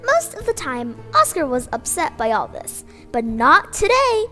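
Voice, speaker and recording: A woman reading a children's picture book aloud in an expressive, sing-song storytelling manner. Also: high voice, measured pace, soft background music. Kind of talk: bedtime story read-aloud.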